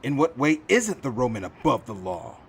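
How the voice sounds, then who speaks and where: A man's voice: medium pitch, big pitch swings, normal speed, gravelly, a little hiss or hum. A man playing a cynical, impassioned district attorney in an audio drama, sardonic and pressing. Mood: bitter, sardonic.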